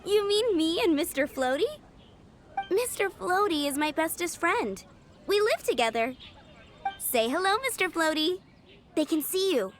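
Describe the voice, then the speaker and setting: A woman's voice: very high voice, very animated, measured pace, long pauses, soft background music, heavily acted. A woman playing a sweet, whimsical young flower-shop girl in a video game, childlike, dreamy and earnest. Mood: sweet, cheerful.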